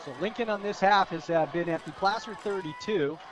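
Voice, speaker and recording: A fairly high-voiced American man adds color commentary to a football broadcast. He talks in a chatty, folksy, conversational way. The noise of a big outdoor crowd is clearly audible behind him. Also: a little fast. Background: stadium crowd.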